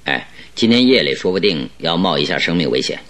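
An actor playing a shrewd, calm detective with a wry, teasing manner in a radio drama. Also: male voice, deep voice, fairly quick. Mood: serious, alert.

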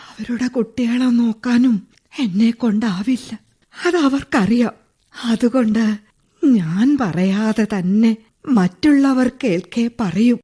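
A weary old mother confined to her bed in a radio drama. Her voice is husky and breathy, slightly high, and she drags out her words slowly with heavy resignation and bitterness. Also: mellow. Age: older. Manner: resigned, tearful at times.

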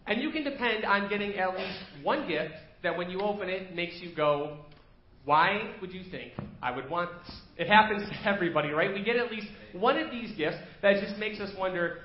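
A man preaching an evening sermon as pastor, slightly nasal from a cold, talking in a conversational, storytelling way in a church. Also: sits high. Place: church sanctuary. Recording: echoey church room, dull lo-fi sound.

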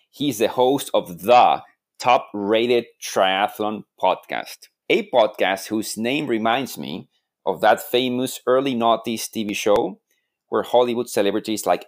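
A man co-hosting a podcast, introducing the guest with wry jokes. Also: on the low side. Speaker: podcast co-host. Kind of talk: podcast interview.

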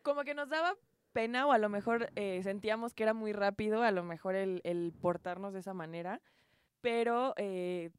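A woman's voice: mid-range voice, normal speed, clean tone. A young adult woman with a Mexican accent, telling personal anecdotes in casual chat among friends as a co-host.

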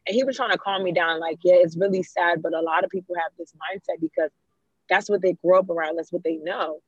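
A woman's voice: fairly low pitch, fairly quick, breathy edge, dry recording. A young woman from Ghana, talking in a casual discussion as a guest, telling stories and acting out the people she quotes. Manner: animated storyteller, quotes people in dialogue. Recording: muffled, slightly lo-fi.

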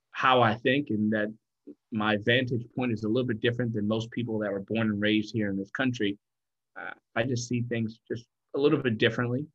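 A man from Liberia talking as an interview guest, telling his story in a thoughtful, deliberate way. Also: mid-range voice, a bit bright, lo-fi sound. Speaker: guest, founder of a financial firm.

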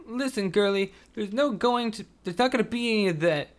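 A man voicing a frantic, bossy female kidnapper who shouts and snaps at her partners. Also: sits high, fairly quick, pitched above the performer's own voice, close to an everyday voice. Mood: menacing. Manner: shouting, snapping.

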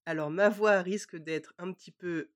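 A woman co-hosting a casual podcast, friendly and quick to laugh, her voice stuffy from a cold. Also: on the low side, steady tone. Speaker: podcast co-host.

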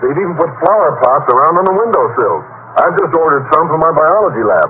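Bashful, animated schoolteacher. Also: male voice, a little high, fast talker. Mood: earnest, obliging. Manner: earnest, slightly awkward. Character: earnest, naive, bashful male biology teacher. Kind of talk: old-time radio comedy acting. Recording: dull, faintly noisy old radio recording.

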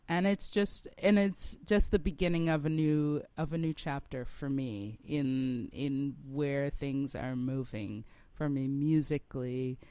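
A woman's voice: a bass voice, dark tone, smooth, dry recording. A woman, a rock singer, talking as an interview guest in a reflective way, searching for her words as she goes. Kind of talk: conversational interview.

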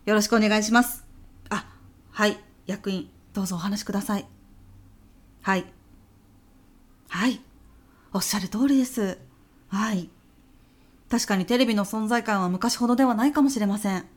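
A woman playing an earnest, polite businesswoman pitching to a client in a comedy audio drama, speaking deferentially. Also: normal speed, pauses often, lightly acted. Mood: polite, accommodating.

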